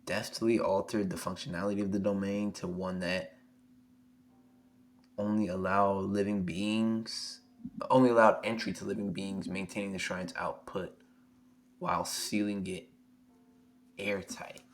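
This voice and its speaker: A hoarse young man on a live stream, reading a manga chapter aloud and reacting excitably, swearing freely. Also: deep voice, measured pace, pauses often. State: hoarse, losing his voice. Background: faint music.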